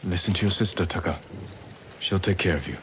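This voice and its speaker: Samurai lord, game drama, male actor: very deep, restrained, grave, measured; faint rainy noise. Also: natural voice. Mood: solemn. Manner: terse, measured, solemn. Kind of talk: dramatic video game acting.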